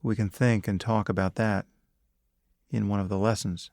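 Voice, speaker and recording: A man leading a guided meditation as a teacher, speaking calmly and deliberately in short phrases with long pauses. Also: lowish voice, dry recording, clean studio sound. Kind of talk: guided meditation, spoken instruction.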